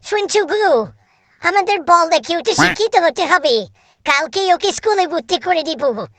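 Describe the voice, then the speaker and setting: A small cartoon animal with an extremely high little voice. It sounds plaintive and is recorded dry and close. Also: female voice, lively intonation, unhurried, no echo, full character voice. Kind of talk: animated fable dialogue.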